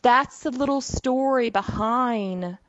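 A woman's voice: on the high side, slow, dry recording. A middle-aged woman with a Southern US accent hosting her own show as a psychic medium, talking in a casual, folksy way with lots of "you know.". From southern United States. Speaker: podcast host, psychic medium. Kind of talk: casual solo monologue. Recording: dull, dry, slightly lo-fi.

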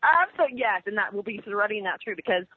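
A woman's voice: quick pace, slightly rough. A woman co-hosting a radio talk show, bubbly and chatty, laughing often as she talks over a phone line. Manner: bubbly, laughs often, lively pitch swings. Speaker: co-host.

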